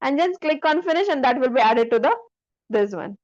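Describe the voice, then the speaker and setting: A woman with a high voice gives an instructional walkthrough of software. She explains step by step and keeps checking that the learner follows. The recording is dull and lo-fi. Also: animated, fairly quick. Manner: instructive, frequently checking the listener follows with short questions. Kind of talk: screen-share software tutorial.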